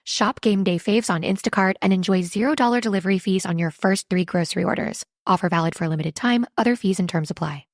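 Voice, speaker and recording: Female commercial voice-over, mid-range pitch, scripted sales read, close and dry. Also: fast talker, hardly any pauses, dry recording. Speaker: advertisement voice-over.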